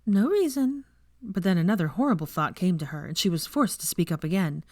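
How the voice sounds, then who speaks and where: A woman's voice: fairly low pitch, fast talker, no room sound, clean studio sound. A woman reading a story aloud as narrator, in scripted audiobook style. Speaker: fan fiction narrator.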